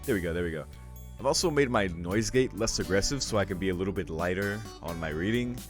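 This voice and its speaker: A man with a low voice giving casual commentary as he reads through a visual novel on a stream. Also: animated, fast, music in the background. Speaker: streamer reacting to a game. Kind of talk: casual commentary while reading visual novel text aloud.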